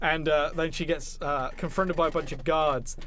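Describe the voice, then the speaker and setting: A man with a fairly high-pitched voice co-hosts a film podcast. He talks casually and enthusiastically, telling things in a rambling way and swearing freely. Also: fairly even intonation, normal speed, fairly bright tone, slight background noise. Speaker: podcast co-host. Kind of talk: casual chat about films.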